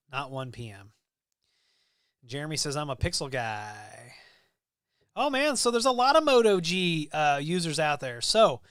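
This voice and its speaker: A Midwestern American man with a slightly higher voice chats loosely on a live stream, full of ums and half-finished thoughts. His tone is smooth and clear, and the sound is close and dry with no room around it. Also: no echo. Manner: rambling, relaxed, with many ums and restarts. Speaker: livestream host. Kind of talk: casual live-stream chat reading viewer comments.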